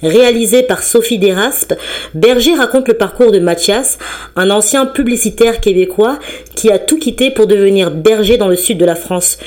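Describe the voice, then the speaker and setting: A woman reporter voicing a scripted radio news report in an even, measured way. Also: mid-range voice.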